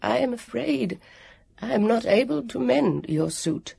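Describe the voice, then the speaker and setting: Narrator doing a mournful skeletal guardian, high-pitched. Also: expressive, gravelly, mellow, slightly rough, no room sound, heavily acted. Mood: apologetic. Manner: slow, mournful, apologetic. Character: gaunt skeletal male guardian, sad and apologetic.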